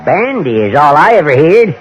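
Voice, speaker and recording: An actor playing a cheerful, chatty little rustic drifter with an American Western accent and folksy backwoods speech in an old-time radio drama. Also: male voice, fairly high pitch, sing-song, normal speed, soft background music. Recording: dull old radio recording.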